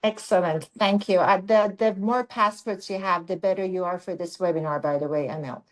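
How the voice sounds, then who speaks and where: Measured woman moderating a panel. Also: lowish voice, fairly even intonation, poor audio. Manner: measured, deliberate, framing questions. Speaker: webinar moderator. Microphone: video call.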